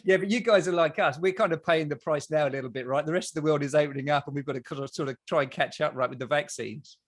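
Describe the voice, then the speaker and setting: A man's voice: fairly high pitch, fast talker. Rambling Australian man hosting a podcast. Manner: rambling, enthusiastic, full of restarts and "right?". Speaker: podcast host. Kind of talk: casual interview chat.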